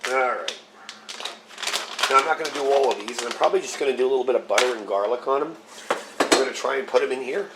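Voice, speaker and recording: A man's voice: medium pitch, fast, long pauses. Man with a scratchy voice demoing food. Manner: relaxed, explains step by step while handling gear. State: slightly scratchy voice from a cold. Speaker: channel host. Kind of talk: casual cooking demo vlog.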